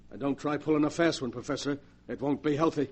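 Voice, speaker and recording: A man's voice: fairly high pitch, quick pace, a little mellow, lightly acted. A man acting a ruthless, cocky gangster in 1940s radio drama, talking tough in menacing racketeer slang. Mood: menacing, pushy. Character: ruthless, cocky gangster who believes himself invincible.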